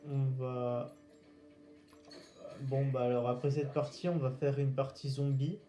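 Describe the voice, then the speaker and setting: A man giving casual gaming commentary in a halting, hesitant way, searching for words. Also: medium pitch, level tone, warm tone, soft background music. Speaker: gaming video host. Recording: roomy echo, faint background noise.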